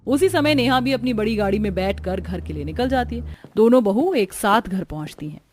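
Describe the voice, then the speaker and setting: A woman's voice: mid-range voice, animated, fairly quick, darkish tone, slight background noise. A woman reading scripted narration for a family drama cartoon story. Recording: music bed behind the voice. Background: background music.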